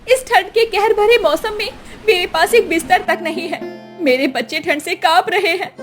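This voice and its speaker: A woman's voice: very high-pitched, normal speed, faint music behind. A woman voicing a poor, meek, suffering mother in a cartoon story, pleading and lamenting. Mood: tearful lament.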